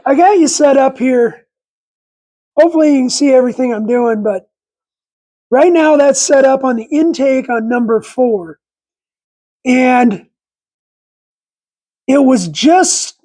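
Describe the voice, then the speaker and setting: A raspy man in his forties with a head cold, rambling folksy and correcting himself while talking through engine work in a garage on a lapel mic. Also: very high-pitched, expressive, a little slow, long pauses, warm tone. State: congested with a cold. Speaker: channel host. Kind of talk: casual workshop vlog. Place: home garage workshop, indoors.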